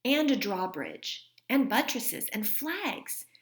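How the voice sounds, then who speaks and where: A woman's voice: a little high, big pitch swings, slow pace, full character voice. A woman voicing a wry, playfully teasing father in a children's storybook. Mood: grand, playful.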